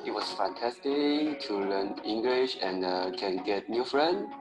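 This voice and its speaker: Slow, halting man from China. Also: fairly low pitch, expressive, poor audio. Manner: halting, non-native English with pauses. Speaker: former student in a testimonial. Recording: promo clip with music underneath.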